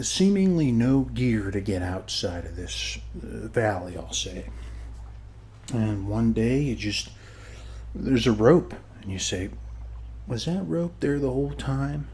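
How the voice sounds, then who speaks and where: A man playing a friendly, rambling neighbor in a soft ASMR roleplay, speaking haltingly and often dropping to a whisper. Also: lowish voice, slight background noise. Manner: halting, rambling, reassuring, often ending phrases with "okay". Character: friendly rambling male neighbor at a lake party. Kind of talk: ASMR roleplay, soft-spoken one-sided conversation.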